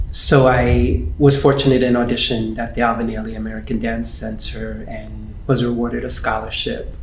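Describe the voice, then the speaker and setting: A man from the Bronx, a dancer and choreographer, speaking slowly and thoughtfully with pauses in an interview. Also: mid-range voice, a little hiss or hum. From the Bronx, New York. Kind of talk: interview talk. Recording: roomy room with faint ambience.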